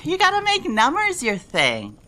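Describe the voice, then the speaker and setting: A woman's voice: high-pitched, huge pitch swings, unhurried, bright, forceful tone, full character voice. A woman playing a laid-back, tipsy young woman who talks in teasing slang in an audio drama, over a noisy background. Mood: tipsy, playful. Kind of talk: fan audio drama dialogue.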